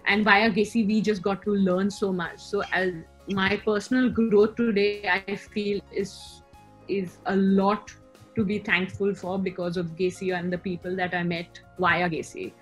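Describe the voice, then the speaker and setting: A woman with an Indian accent answers interview questions in a reflective, conversational way. Her voice sits at a middle pitch, and she feels her way through her thoughts with some hesitation. She is on a video call, with music playing behind her. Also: level tone, a little slow, low-quality recording. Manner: conversational, hesitant, thinking aloud. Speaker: interview guest, co-founder of a queer community platform. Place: small room indoors, on a video call. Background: background music.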